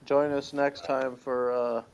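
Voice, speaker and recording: A man's voice: mid-range voice, level tone, takes it slowly, highs cut off, slight background noise. A man co-hosting a casual, unscripted chat, speaking hesitantly as he searches for his words. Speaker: podcast co-host.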